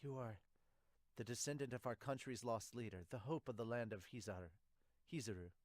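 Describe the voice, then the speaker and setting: A young man giving an amateur dramatic read-aloud of manga dialogue, somewhat halting, into a close mic. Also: medium pitch, no echo. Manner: halting reading with stumbles. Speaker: podcaster reading a manga aloud as an amateur dub. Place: small home room, indoors. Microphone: large studio mic with pop filter, close.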